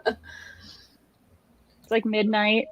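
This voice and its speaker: A woman's voice: medium pitch, monotone, drawn-out words, a bit bright, no echo. A woman on a livestream panel, chatting casually. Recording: dull, dry lo-fi call audio.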